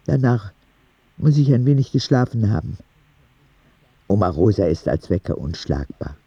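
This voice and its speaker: A man narrating a radio drama in the first person as a cheeky boy, soft and husky. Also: fairly low pitch, very animated, moderate pace, no echo, muffled recording, full character voice. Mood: wistful. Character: cheeky ten-year-old boy narrating his own story.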